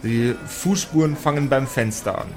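A man's voice: medium pitch, normal speed. Man narrating over background music. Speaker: game master. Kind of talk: narrating an improvised tabletop audio play.

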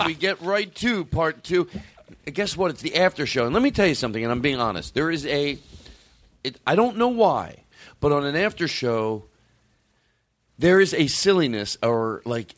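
A man hosting a comedy podcast, talking casually in a goofy, playful way with earnest asides. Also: a little high. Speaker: podcast host.

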